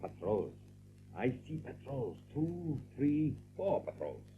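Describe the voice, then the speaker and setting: A man's voice: mid-range voice, sing-song, takes it slowly. A man voicing a boastful, irritable bird who blusters in broken English in an audio drama. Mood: urgent, instructing. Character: excitable gruff foreign-sounding bird, boastful and irritable. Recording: noisy, narrow-band old audio drama recording.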